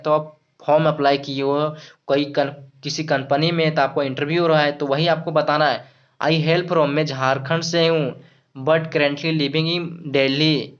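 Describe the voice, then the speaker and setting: A man teaching English to Hindi speakers. He repeats himself, restarts words and slows right down when he says the English examples. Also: a little high, a bit bright. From Jharkhand, India. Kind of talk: explaining English phrases in Hindi. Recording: dull lo-fi sound.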